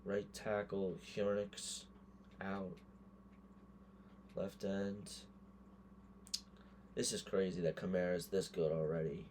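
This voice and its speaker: Hoarse low-voiced man talking gameplay. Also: level tone, slow pace, long pauses, a little mellow, slight background noise. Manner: thinks aloud, muttering verdicts on players. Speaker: gaming creator. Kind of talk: casual gameplay commentary.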